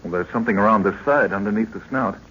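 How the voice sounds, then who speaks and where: An actor playing a keen, respectful young assistant in an old radio adventure serial, straightforward and deferential. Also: male voice, on the low side, quick pace, a bit bright, natural voice. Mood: attentive. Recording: muffled, noisy old-time radio recording.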